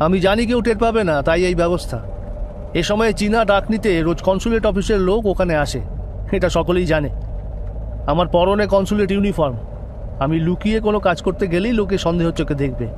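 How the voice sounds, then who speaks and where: A man's voice: high voice, fairly quick, darkish tone, slight background noise, lightly acted. A man playing an earnest, secretive foreign government agent in an audio drama, speaking formally and politely with instructions. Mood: assured. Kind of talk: dramatized audio story dialogue.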